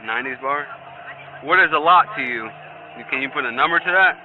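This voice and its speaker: A man's voice: medium pitch, fairly quick. An American man, a police officer, questions a driver at the roadside, persistently repeating answers back as questions. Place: outdoors at a roadside at night. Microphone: body-worn camera. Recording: tinny bodycam audio with street noise.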